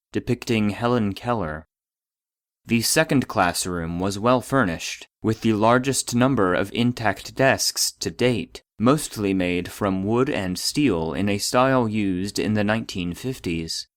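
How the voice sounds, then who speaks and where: An actor playing a formal database voice, reading clinical case logs in a detached, precise manner. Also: male voice, on the low side, no room sound, clean studio sound. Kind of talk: scripted narration of clinical reports.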